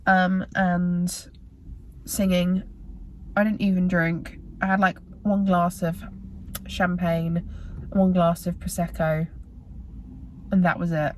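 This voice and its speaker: A young British woman talks to her camera in a loose, rambling vlog style. Her voice is croaky and worn from tiredness, and she drifts through fillers and restarts. A faint hiss of background noise sits under her speech. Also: lowish voice, level tone, slow pace, pauses often. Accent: British English. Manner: rambling, filler-heavy, self-correcting. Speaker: vlogger. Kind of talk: casual chatty vlog talk to camera.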